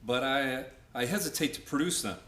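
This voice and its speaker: A man's voice: mid-range voice, a little fast, pushed and bright, reverberant, close to an everyday voice. A man playing a confident, formal, insistent defense lawyer in a radio play. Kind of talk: radio play courtroom acting.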